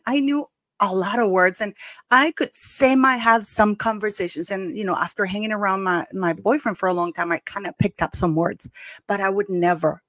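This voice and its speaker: A woman with a slight Spanish accent hosts opinionated commentary at a mid pitch. She sounds indignant and emphatic and doubles up words for stress. The recording is dry, with no room sound. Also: a bit bright, dry recording. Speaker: commentary channel host.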